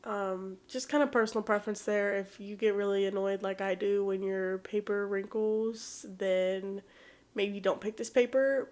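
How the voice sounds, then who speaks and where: A woman's voice: mid-range voice, darkish tone. A young woman hosting an art vlog, chatting casually while she paints, sounding a little congested from allergies, rambling and self-deprecating. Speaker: art channel host.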